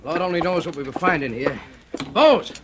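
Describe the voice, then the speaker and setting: An actor playing a brisk police chief who barks orders, in a 1940s radio drama. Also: male voice, a little high, lively intonation, close to an everyday voice. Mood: urgent. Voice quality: commanding, barking orders. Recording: old radio recording with faint hiss.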